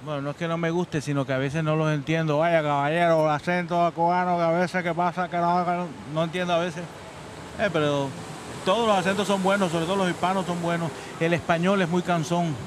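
A man with a slightly high voice, chatting casually in a street interview. He pauses and hesitates often as he thinks. A faint noise sits behind him. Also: fairly even intonation. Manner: hesitant, many fillers.

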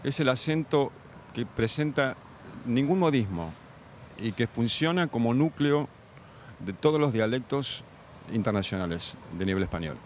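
A man's voice: medium pitch, animated, normal speed, long pauses, slight background noise. A man, a diction professor, answering interview questions with formal, precise explanations.

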